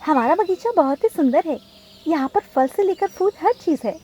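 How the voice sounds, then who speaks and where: A woman's voice: very high voice, lively intonation, moderate pace, mellow, a little hiss or hum. A woman voicing a polite, loyal female butterfly in a cartoon story, with a courteous, deferential manner.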